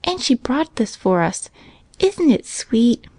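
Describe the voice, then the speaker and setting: A woman's voice: a little high, sing-song, slow, mellow, husky, dry recording, voice pushed up, exaggerated voice. A woman voicing an eager, trusting little girl in a storybook, earnest and coaxing. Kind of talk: storybook character voice.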